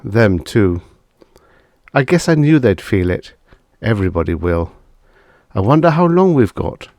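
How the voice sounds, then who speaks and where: A man's voice: lowish voice, sing-song, measured pace, a little mellow, no room sound, clean studio sound. A man voicing a weary, stubborn but tender husband in an audiobook story. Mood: resigned.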